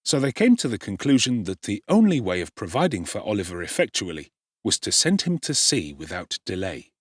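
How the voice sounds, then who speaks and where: A man's voice: lowish voice, very animated, fairly quick, dry recording, clean studio sound. A man narrating an audiobook in a wry, theatrical storytelling manner.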